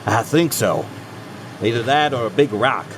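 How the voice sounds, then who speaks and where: A man's voice: medium pitch, lively intonation, moderate pace, natural voice. A man playing a reluctant, skeptical utility worker in an audio drama. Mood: griping. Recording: clear humming machinery noise behind.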